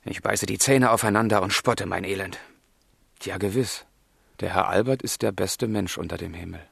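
An actor playing a passionate, lovesick young gentleman in a German radio drama, with a fervent, confiding delivery and a husky voice. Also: low voice, normal speed, no echo, studio quality, heavily acted. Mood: brooding, fervent.

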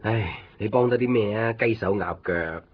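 An actor playing a gentle, teasing, devoted young man in a Cantonese radio drama, quiet and understated, with a Hong Kong accent. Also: fairly low pitch, normal speed, husky. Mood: teasing, caring. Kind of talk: Cantonese radio drama acting, low-key and natural. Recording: dull lo-fi 1990s radio recording. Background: faint music.

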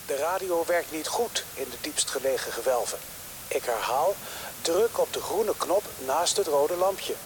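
An actor playing an impassive recycling dispatcher who gives formal, procedural orders in a radio drama. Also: male voice, a little high, expressive, measured pace, background noise. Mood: detached.

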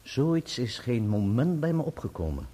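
An actor playing a composed, candid barrister who gives formal, explanatory testimony in a radio play. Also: male voice, mid-range voice, big pitch swings, moderate pace, warm tone, husky. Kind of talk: radio play courtroom acting.

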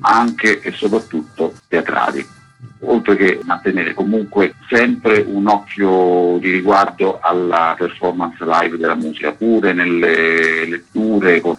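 A man explaining things as a radio interview guest, in formal wording with hesitant pauses. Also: deep voice, a bit bright, slightly rough, faint music behind, rough recording. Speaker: interview guest, festival artistic director.

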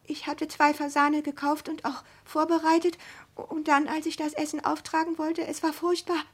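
Scared confused wife played by an actress, high voice, halting, nervy, radio play, sounds close to breaking. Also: female voice, little pitch movement, natural voice. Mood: frightened, confused. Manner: halting, anxious, repeating words. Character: frightened, confused, persecuted wife near breakdown. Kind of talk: German radio drama acting.

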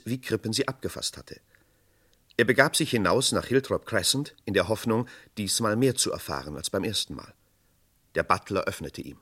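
An actor as the narrator of a German radio crime play, telling a suspenseful story in formal scripted style. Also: male voice, lowish voice, a bit bright, slightly rough, full character voice.

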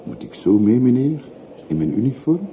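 An actor playing an earnest railway porter in a radio play, formal and deferential yet full of probing questions. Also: male voice, medium pitch, big pitch swings, normal speed. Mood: puzzled, obliging. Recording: muffled phone-like old radio recording, faint hiss.

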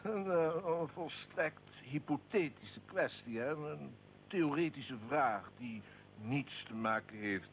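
An actor playing a shrewd Serbian army colonel in a radio drama, courtly and insinuating with a feigned casualness. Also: male voice, mid-range voice, a bit bright, a little hiss or hum, rough recording. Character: shrewd Serbian army colonel, smooth conspirator recalling his plot.

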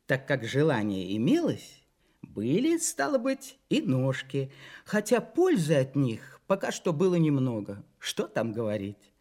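A man's voice: on the high side, a little mellow. A man reading a children's story expressively, in a playful, theatrical manner with conversational asides to the listeners.

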